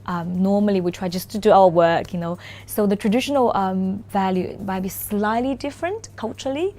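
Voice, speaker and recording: A woman in her thirties from China, a Mandarin native speaking English with a Chinese accent, answering interview questions thoughtfully, with frequent "um" pauses and restarts. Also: mid-range voice, warm tone. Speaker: corporate lawyer, interview guest. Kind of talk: relaxed interview conversation.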